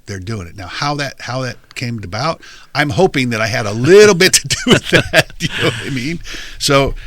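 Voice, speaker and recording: A middle-aged man hosting a podcast with a loud voice, talking passionately and often restarting his sentences. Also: medium pitch, no room sound. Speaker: podcast host. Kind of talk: casual podcast conversation.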